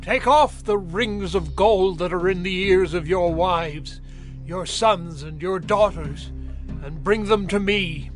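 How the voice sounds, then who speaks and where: An actor playing a priestly leader addressing his people in a measured, proclaiming way in a scripture drama. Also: sits high, faint music behind. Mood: instructing.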